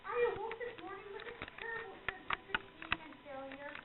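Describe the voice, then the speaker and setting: Woman acting high-pitched theatrical young spirit medium, echoey recording. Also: lively intonation, a little fast. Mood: weary, gracious. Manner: theatrical, solemn, incantatory. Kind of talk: amateur radio play acting. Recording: echoey room, far off, tinny, very noisy.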